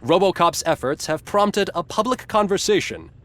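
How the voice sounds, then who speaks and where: A man's voice: high voice, animated, moderate pace, fairly bright tone. A man playing a glib, satirical TV news anchor in a video game, with a polished, smugly ironic delivery. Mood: wry, polished. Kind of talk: scripted satirical newscast narration.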